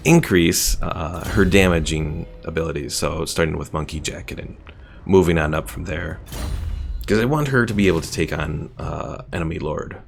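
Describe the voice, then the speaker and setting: A man's voice: very deep voice, normal speed, vocal fry, a little hiss or hum. A man streaming a game with casual live commentary, thinking aloud as he plays. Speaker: gaming streamer.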